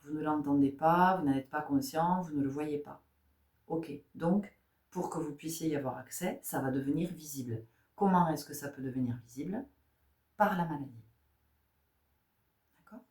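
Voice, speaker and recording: A woman's voice: low-pitched, a little mellow, distant mic. Woman doing a tarot guidance chat, lots of rhetorical questions and repeats, roomy echo. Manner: rhetorical questions, repeats phrases, checks in with "d'accord?". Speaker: tarot and spiritual guidance reader. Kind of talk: spiritual guidance talk. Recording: echoey room.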